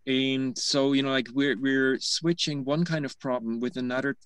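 A man's voice: medium pitch, fairly even intonation, normal speed, fairly bright tone, clear, resonant tone, no echo. Man in his forties, academic webinar, video call, dry close sound, hedging fillers. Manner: thoughtful, many fillers like "you know, like". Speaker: panel speaker.

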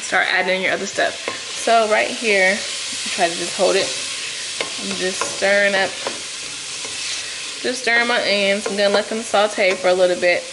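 A woman's voice: mid-range voice, steady tone, moderate pace, pauses often, background noise. A young woman talking viewers through a recipe in her home kitchen, chatty, with plenty of fillers. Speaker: cooking vlogger. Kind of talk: casual cooking tutorial. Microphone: camera on the counter, close. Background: sizzling food and stirring.

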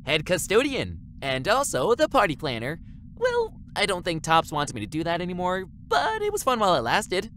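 A woman's voice: lowish voice, wildly swooping pitch, moderate pace, a bit bright, slight background noise. A woman playing a naive, eager space station custodian who rambles guilelessly in a comedy audio drama. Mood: chatty.

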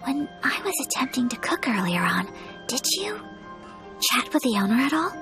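An actress playing a shy, courteous young noblewoman in a video game, soft-spoken and formal. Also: high voice, big pitch swings, moderate pace. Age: young adult. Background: game music.

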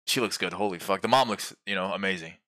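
A man's voice: deep voice, quick pace, pushed and bright, dry recording, clean studio sound. Brash young man on a headset. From Brazilian American. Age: 20s. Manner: brash, joking, swears a lot. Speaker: streamer. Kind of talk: casual video chat with strangers. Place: small bedroom. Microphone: headset mic.

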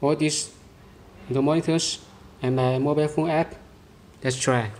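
Halting, slow-talking man giving a demo. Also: a little high, steady tone, a little mellow, slight background noise. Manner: halting, short phrases. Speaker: product demonstrator. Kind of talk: hands-on product demonstration. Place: indoor room, beside a tabletop.

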